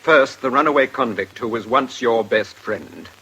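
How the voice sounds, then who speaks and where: An actor playing a guarded, quietly defiant older man in a radio drama, speaking in a clipped, formal way. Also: mid-range voice, normal speed, slight background noise, close to an everyday voice, poor audio. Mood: firm defiance. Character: guarded, stern older male blackmail victim, quietly defiant.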